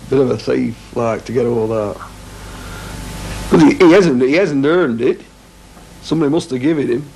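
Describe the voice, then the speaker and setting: Gravelly lancashire bloke in his 40s, blunt, cracking wry jokes at home. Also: medium pitch, normal speed, dark tone, low-quality recording. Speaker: interviewee. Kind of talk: casual opinion chat. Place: home living room. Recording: 1980s TV film with faint noise.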